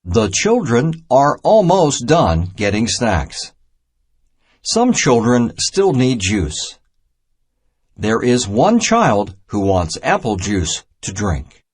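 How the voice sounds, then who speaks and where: A man reading a children's math storybook aloud in a deliberate, simple teaching manner. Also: medium pitch, huge pitch swings, husky. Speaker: narrator of a children's math reader.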